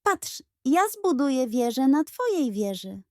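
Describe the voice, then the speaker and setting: A woman voicing a cheerful, excitable little girl in a children's audio story. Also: sits high, unhurried, no echo, studio quality, voice pushed up. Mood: eager, cheerful.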